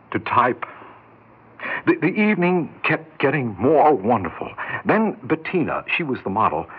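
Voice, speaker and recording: Earnest wistful everyman, husky, animated mid-range voice, halting, dull old radio drama recording. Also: mid-range voice. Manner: halting, wistful, self-deprecating. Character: earnest, yearning ordinary man recounting his lost chance. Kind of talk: 1960s radio drama acting. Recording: dull 1960s radio recording, music behind.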